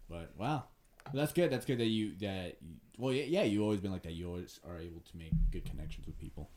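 Deep-voiced young podcast host. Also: male voice, lively intonation, quick pace, darkish tone. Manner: hesitant, stumbling, many fillers. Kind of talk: casual podcast chat.

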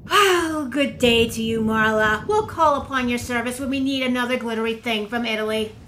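An actress playing a cool, guarded aide to a ruler in an audio drama, speaking in a clipped, formal, controlled way. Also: female voice, fairly high pitch, moderate pace, a bit bright, a little hiss or hum, lightly acted. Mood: cool, stern. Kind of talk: scripted audio drama acting.

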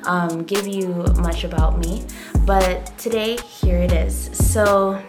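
A woman from Hawaii, hosting her own podcast, talking slowly and gently with many ums and pauses. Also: fairly low pitch. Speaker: podcast host. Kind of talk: casual solo podcast monologue. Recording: loud background music.

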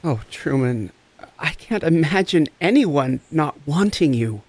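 A man's voice: on the high side, expressive, unhurried, darkish tone, a little hiss or hum. A man acting the part of a compassionate recovery coach in an audio drama, tender, soothing and earnest. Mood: tender, consoling. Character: compassionate, gentle, encouraging recovery coach and friend.

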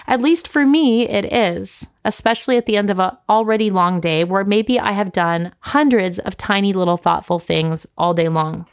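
A middle-aged woman hosting a personal podcast monologue, her voice a little raspy and scratchy from a mild head cold. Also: medium pitch, normal speed, hardly any pauses. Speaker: podcast host and coach.

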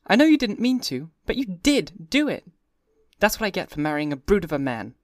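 Guy doing a breathy wistful young rich wife, way up high, flits around. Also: very animated, brisk, no echo, studio quality. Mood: teasing.

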